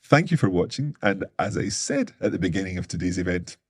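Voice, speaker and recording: A man's voice: deep voice, sing-song, a little fast, husky, no room sound, studio quality. A man presenting a scripted company announcement with upbeat enthusiasm.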